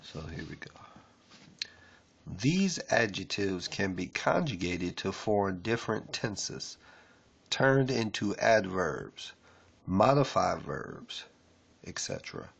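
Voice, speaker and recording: Slow, gravelly deep-voiced man. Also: very animated, fairly bright tone. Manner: rambling, loose and unpolished, with many fillers; reads aloud haltingly with restarts. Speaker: self-taught learner reading a lesson. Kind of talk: casual screen-share stream.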